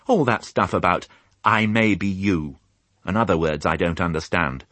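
A man's voice: normal speed, a bit bright, no room sound. A man voicing an innocent, eager young male piglet who asks childlike questions in a raised voice. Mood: puzzled. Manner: high, wondering, childlike questions.

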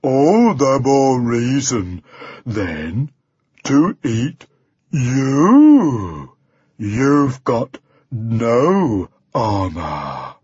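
A man voicing a huge, slow-witted, menacing dragon in a very deep bass, speaking with ponderous deliberation in a storybook reading. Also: very animated, a little mellow, slightly rough, no echo, highs cut off, full character voice. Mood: slow menace.

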